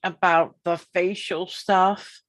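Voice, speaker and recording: A woman in her fifties hosting an interview, talking in a slow, emphatic way and repeating her points. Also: fairly low pitch, flat delivery. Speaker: podcast host. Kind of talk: casual interview.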